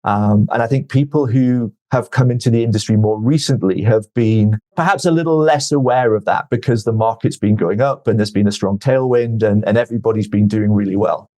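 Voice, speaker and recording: A man being interviewed as a venture investor, explaining his points in a measured way and often repeating words as he starts a sentence. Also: on the low side, mellow. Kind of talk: podcast interview answers.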